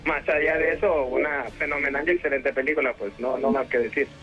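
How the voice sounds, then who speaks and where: A man's voice: medium pitch, fairly even intonation, a little fast, dull audio, soft background music. A man from Honduras speaking as a correspondent on a film show, giving a casual, conversational report.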